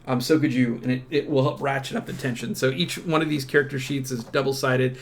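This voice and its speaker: A man with a creaky, gravelly voice giving a casual product walkthrough in a halting, self-interrupting way. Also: medium pitch, steady tone, slight background noise. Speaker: unboxing presenter.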